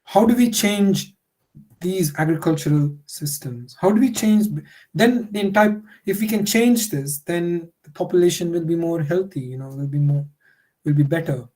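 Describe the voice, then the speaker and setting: Guy giving a workshop talk, warm low-key tone, says you know a lot. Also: normal speed, poor audio. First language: Telugu. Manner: conversational, many fillers like "you know". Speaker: workshop lecturer. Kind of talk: online lecture.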